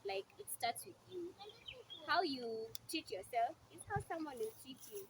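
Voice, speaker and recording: Animated, high-pitched Kenyan woman in her twenties. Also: a little slow. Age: late 20s. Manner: encouraging, addresses listeners directly. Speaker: speaker at a women's talk session. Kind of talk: motivational talk to a group. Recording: clearly noisy live group recording.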